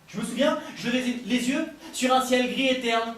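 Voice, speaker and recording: Male slam poet, very high voice, rhythmic rhymed declamation, strongly echoing live room. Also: moderate pace, a bit bright, distant mic. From France. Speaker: slam poet at a poetry competition. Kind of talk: slam poetry performance, first-person biographical verse. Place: live poetry event in Paris. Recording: roomy live event captured from afar, faint noise.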